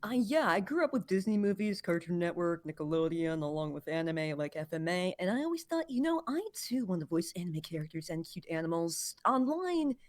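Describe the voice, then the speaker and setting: A young woman, a hobby voice actor, speaking on a panel in an excitable, upbeat way. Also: lowish voice, a little fast, few pauses. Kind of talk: casual panel chat.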